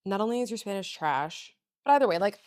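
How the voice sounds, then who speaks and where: Young woman hosting, chatty, mid-pitch, lots of likes and laughs. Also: expressive, darkish tone. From US, Cuban parents. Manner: chatty, fast, laughs a lot, lots of fillers. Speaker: host. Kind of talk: casual interview chat.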